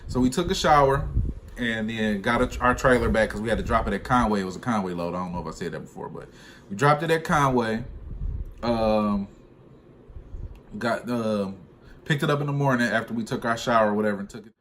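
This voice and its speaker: A man's voice: lowish voice, expressive, fairly quick, a bit bright, slight background noise. A man in a casual, slangy storytelling chat, speaking informally with some swearing as a trucker vlogger.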